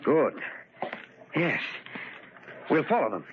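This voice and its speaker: An actor playing a proper, earnest husband and amateur sleuth in an old-time radio drama, with a formal manner. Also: male voice, a little high, big pitch swings, slow pace. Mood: earnest, determined. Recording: muffled, hissy, crackling old radio recording.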